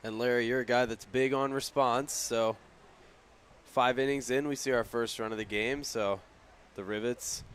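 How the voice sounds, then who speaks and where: A man giving conversational color commentary on a live baseball broadcast, with a smooth, clear voice. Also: medium pitch, steady tone, normal speed.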